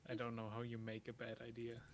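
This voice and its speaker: A man's voice: medium pitch, monotone, a little fast. A man co-commentating on a game stream in casual chat, with a dry, teasing manner. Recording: faint background noise.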